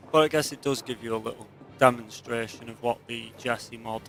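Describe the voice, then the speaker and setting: A man's voice: medium pitch, fairly even intonation, moderate pace, creaky, fairly bright tone. A man giving casual let's play commentary on a video game. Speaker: gameplay commentator. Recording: game music behind the voice. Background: video game music.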